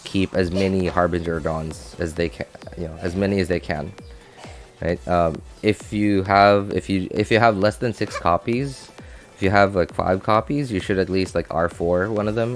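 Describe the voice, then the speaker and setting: A man hosting a live game stream, talking casually and thinking aloud as he answers viewers, often repeating his phrases. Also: low-pitched.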